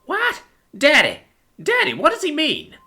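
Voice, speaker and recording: High-pitched, frightened badger boy. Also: wildly swooping pitch, a little slow, vocal fry, sharp tone, full character voice. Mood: bewildered. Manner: pleading, plaintive. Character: frightened, bewildered young male badger son. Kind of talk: dramatic storybook character voice.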